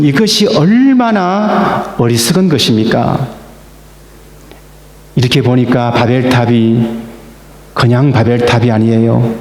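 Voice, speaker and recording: A man preaching a sermon as pastor, slow and emphatic, with stressed words that rise. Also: fairly high pitch. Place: church sanctuary. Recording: echoey church hall.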